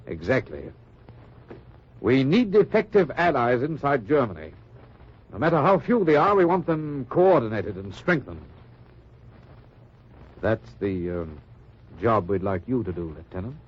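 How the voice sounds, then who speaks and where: Husky seasoned colonel, measured and deliberate, muffled old radio sound. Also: male voice, medium pitch, big pitch swings. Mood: stern. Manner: measured, hesitant with ums. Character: gruff seasoned male intelligence colonel. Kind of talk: 1940s radio drama acting.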